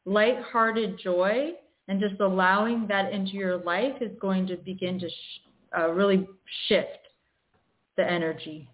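A woman medium giving intuitive readings to callers, hesitant with many pauses and restarts. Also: medium pitch, fairly even intonation. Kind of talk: intuitive reading, casual and halting. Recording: phone-like radio line.

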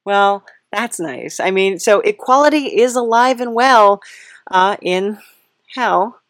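A woman's voice: mid-range voice, expressive, takes it slowly, dry recording, clean studio sound. A woman hosting a comic interview in a casual, rambling, hesitant way with a wry touch. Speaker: podcast host, interviewer.

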